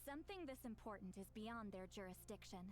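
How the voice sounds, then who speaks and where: Fantasy game seer: actress plays composed, wise woman, medium-pitched, measured and explanatory. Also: lively intonation, lightly acted. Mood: explaining. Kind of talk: anime video game voice acting.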